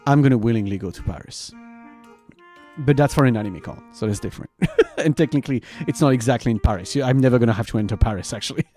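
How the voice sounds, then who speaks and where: Male streamer, lively and swinging intonation, informal rambling chat, faint music underneath. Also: medium pitch, quick pace. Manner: rambling, informal, swears casually; animated, laughs often. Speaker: variety streamer and host. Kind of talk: casual livestream chat to viewers.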